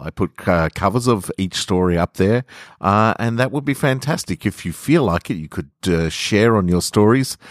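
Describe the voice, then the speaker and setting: A man hosting a story podcast, speaking with measured, deliberate phrasing. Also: deep voice, dry recording, clean studio sound. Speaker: podcast host and story reader. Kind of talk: literary story narration and informal sign-off.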